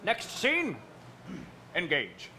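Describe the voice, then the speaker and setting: A man playing a measured, commanding starship captain with a dry, deliberate delivery in a comic staged reading. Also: sits high, wildly swooping pitch, exaggerated voice. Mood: dry puzzlement. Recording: live stage, distant, noticeable background noise.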